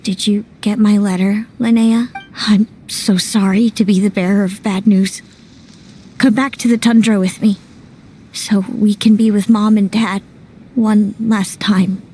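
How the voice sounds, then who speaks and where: A solemn, earnest sister from a story-driven game, voiced by an actress. Her voice sits at a middle pitch with measured delivery, over faint background noise. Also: fairly even intonation, dark tone, close to an everyday voice. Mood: solemn, resigned. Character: solemn, composed sister bearing sad news. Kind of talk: video game story voice acting.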